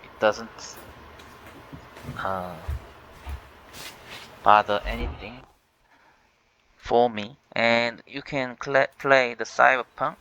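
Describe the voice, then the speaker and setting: Korean-native man hosting a tech vlog, slow, bright tone, halting with fillers, faint hiss under a dull mic. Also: medium pitch, level tone. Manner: halting, many fillers and restarts. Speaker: tech channel host. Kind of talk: casual screen-recorded walkthrough.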